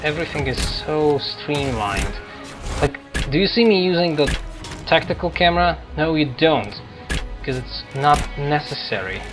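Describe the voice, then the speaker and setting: A man giving casual, halting gameplay commentary as he hosts a gaming video. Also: a little high, lively intonation. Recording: game music and battle noise behind. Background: video game music and combat sounds.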